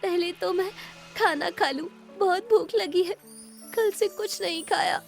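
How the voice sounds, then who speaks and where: A woman voicing a meek, mistreated young girl in an animated moral story, timid and plaintive. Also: extremely high pitch, measured pace, gravelly, faint music behind, exaggerated voice. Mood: thoughtful.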